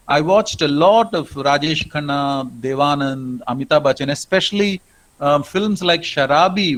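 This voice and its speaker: A middle-aged Indian man lectures in a reflective way, his voice sitting a little higher than most men's. He speaks English with an Indian accent and often stops on "um" and "uh" as he gathers his thoughts. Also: a bit bright, rough recording. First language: not English. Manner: reflective, with frequent "um" and "uh" pauses. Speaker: professor.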